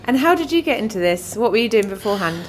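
A woman's voice: mid-range voice, big pitch swings, quick pace, a little hiss or hum. British woman host asking questions. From United Kingdom. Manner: friendly, encouraging back-channel. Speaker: podcast host. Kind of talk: interview questions.

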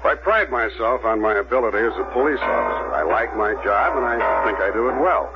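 An actor playing a steady, self-assured police detective who questions and narrates in a measured, terse way in a 1970s radio drama. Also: male voice, lowish voice, over loud music, natural voice. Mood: matter-of-fact, assured. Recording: dull, noisy vintage 1970s radio recording.

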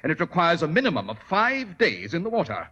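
An actor playing a shrewd, commanding detective in 1940s radio drama style, with precise, formal, theatrical delivery. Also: male voice, on the high side, huge pitch swings, fairly quick, sharp tone, breathy edge, lo-fi sound, exaggerated voice. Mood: coolly accusing. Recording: vintage 1940s radio recording.

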